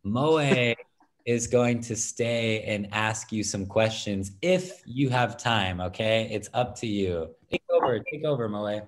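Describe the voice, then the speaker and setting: A man's voice: on the low side, slow pace. A man teaching an online English class in an upbeat, instructive way over a video call.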